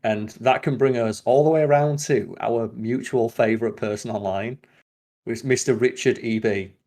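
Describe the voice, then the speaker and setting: A man chatting casually as a podcast host, opinionated and given to sweary, animated rants. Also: mid-range voice, normal speed.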